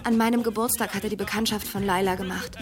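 A woman's voice: medium pitch, fairly even intonation, fast, music in the background, natural voice. An actress playing a troubled young woman in a radio drama, confiding earnestly and hesitantly. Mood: uneasy, confiding. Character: troubled young woman, ashamed of her brother.

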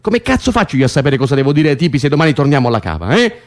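Fast-talking, weary male prisoner. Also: a little high, big pitch swings. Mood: exasperated, urging. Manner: foul-mouthed, exasperated, insistent. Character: weary, driven male political prisoner, bossy and wry cellmate. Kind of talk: Italian radio drama acting.

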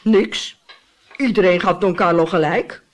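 A woman's voice: on the low side, unhurried, lightly acted. A woman playing a gossipy, pious widow in a radio drama, telling scandal in a dramatic, confiding way. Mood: relishing, confiding. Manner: dramatic, confiding storytelling with tag questions.

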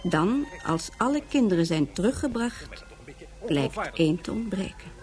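An actress playing a composed storyteller, narrating a scripted radio drama in a measured, formal way. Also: female voice, mid-range voice, very animated, darkish tone. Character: composed female storyteller setting out science fiction tale. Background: music.

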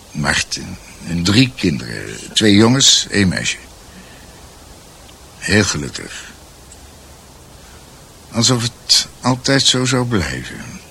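An actor playing an older doctor in a radio drama, musing hesitantly and in a measured way over his family's history, with a gravelly voice. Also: sits low, pushed and bright, slight background noise, exaggerated voice. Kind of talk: Dutch radio drama acting.

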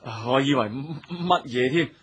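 A man's voice: mid-range voice, unhurried, dull audio, natural voice. A man acting an evasive, tipsy husband in a Cantonese radio drama, sighing and wheedling with his wife.

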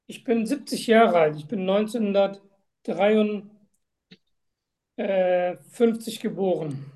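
A woman with a medium-pitched voice plays a patient answering medical questions. She speaks slowly and deliberately, keeping her answers short and factual. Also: warm tone. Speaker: simulated patient in medical exam practice. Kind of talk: role-played patient answers.

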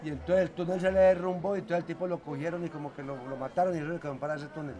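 A man's voice: a little high, fairly even intonation, very fast. A middle-aged Colombian man chatting colloquially as a hardware stall owner being interviewed, often repeating and rephrasing himself. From Tuluá, Valle del Cauca, Colombia. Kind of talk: casual interview chat. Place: hardware stall in a public market. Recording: busy indoor market crowd noise.

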